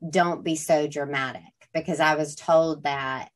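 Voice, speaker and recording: A woman's voice: low voice, steady tone, a little slow. A woman in her thirties talking in a reflective, candid way in a casual conversation.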